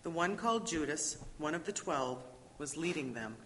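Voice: medium pitch, expressive, moderate pace, fairly bright tone, a little hiss or hum. Scripture narrator in a reverberant hall. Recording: echoey church hall, long reverb.

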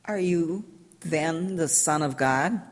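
A woman acts out a stern elder of the council, accusing and severe. The delivery is very slow and somewhat low, ringing with long hall reverb in a scripture drama. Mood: demanding.

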